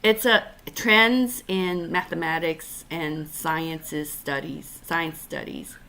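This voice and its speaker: A woman from Taiwan hosts a casual chat over a video call. Her voice sits low and her pace is unhurried. She hesitates a lot, with fillers and restarts. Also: fairly even intonation, vocal fry, bright, forceful tone. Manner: halting, many fillers and restarts. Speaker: podcast host.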